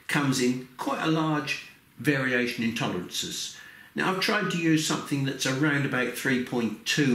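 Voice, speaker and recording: An older man with a croaky voice from a cold, explaining a workshop build step by step, with frequent "okay" and "um". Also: mid-range voice, a bit bright. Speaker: maker demonstrating a build. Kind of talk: hands-on workshop tutorial. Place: workshop bench. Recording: echoey room.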